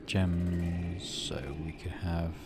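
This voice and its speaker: A man's voice: sits low, completely flat delivery, very slow, over loud music. A man hosting a gaming video, mixing casual commentary with reading the game's narration aloud. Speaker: gaming channel host.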